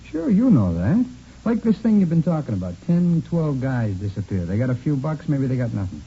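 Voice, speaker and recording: Chatty murder suspect, very dark mellow tone, swinging intonation, casual, unrepentant, rambling, old radio crime drama. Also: male voice, medium pitch, sing-song, a little fast, husky, exaggerated voice. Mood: chatty, self-satisfied. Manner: rambling, casual, repeats himself with stammered restarts. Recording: muffled, noisy vintage radio recording.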